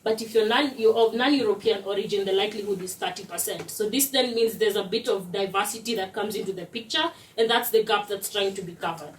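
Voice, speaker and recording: A young woman from Kenya, explaining a student project to an audience in a steady way. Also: medium pitch, a little fast, few pauses, slight background noise. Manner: explanatory, steady, uses "basically" as a filler. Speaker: student presenter. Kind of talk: presentation. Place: lecture room. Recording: roomy echo, distant mic.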